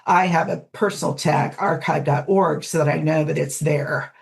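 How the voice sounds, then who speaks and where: A woman with a notably low voice and plenty of vocal fry talks as a podcast guest. Her style is casual and conversational. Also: fairly even intonation, normal speed, a little mellow. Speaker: podcast guest, fanfiction audio narrator. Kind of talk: casual interview chat.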